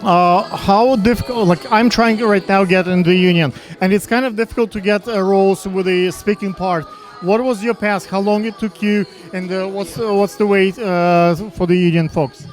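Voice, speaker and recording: A man's voice: high-pitched, fairly even intonation, faint music behind. Stammering man interviewing at a rooftop event. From Ukraine. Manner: halting, repeats words, non-native phrasing. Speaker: interviewer at a fashion show. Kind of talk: casual street interview.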